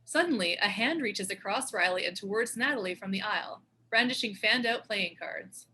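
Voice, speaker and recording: A woman's voice: mid-range voice, expressive, a little fast, pushed and bright, slight background noise. A woman narrator reading stage directions in a scripted narration style.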